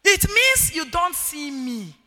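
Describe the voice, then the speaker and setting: A middle-aged woman with a Nigerian accent and a loud, forceful voice, preaching a marriage sermon emphatically and repeating key phrases. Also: high voice, big pitch swings, slow pace. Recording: echoey hall with long reverb.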